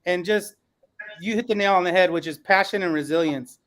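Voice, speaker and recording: High-voiced earnest male podcast host. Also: a little fast, a bit bright. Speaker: panel host and educator. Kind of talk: podcast panel discussion.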